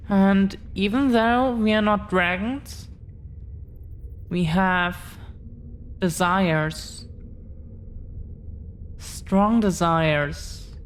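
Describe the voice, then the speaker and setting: Woman acting very slow-spoken, earnest dragon-blooded girlfriend. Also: on the low side, slight background noise. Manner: halting, earnest, deliberate pauses. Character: affectionate, protective dragon-blooded girlfriend confessing her secret. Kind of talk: intimate audio roleplay.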